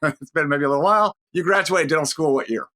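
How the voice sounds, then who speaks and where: A man hosting a podcast, his voice raspy and deeper than usual from a head cold, talking in an easy, measured way. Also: sharp tone. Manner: conversational, measured pace with drawn-out phrases. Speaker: podcast host. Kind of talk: podcast intro and interview.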